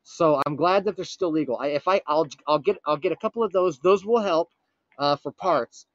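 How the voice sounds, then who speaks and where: A man playing a frantic, earnest time traveler from the future on a prank call, urgent, pleading and halting with dramatic pauses. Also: on the high side, no echo, lo-fi sound, close to an everyday voice. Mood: earnest, improvising. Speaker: prank caller. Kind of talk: improvised comic prank phone call.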